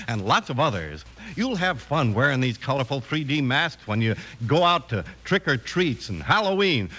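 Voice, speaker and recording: A man's voice: on the high side, pushed and bright. A man announcing and narrating in 1950s radio style, with a hearty, folksy salesman delivery.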